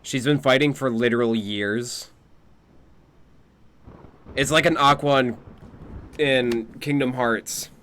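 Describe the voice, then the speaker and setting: A laid-back game streamer with a medium-pitched voice. The delivery is casual live commentary, made up of short muttered asides and reactions with some swearing. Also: takes it slowly, long pauses, a bit bright.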